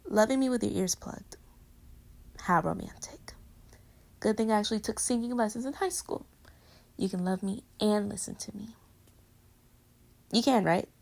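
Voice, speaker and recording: Woman as playful girlfriend, audio roleplay. Gravelly vocal fry, mid pitch, intimate and teasing pillow talk. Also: normal speed, pauses often, darkish tone, natural voice. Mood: playful, curious. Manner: casual, teasing, conversational with giggles. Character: playful, teasing, affectionate girlfriend cuddling in bed.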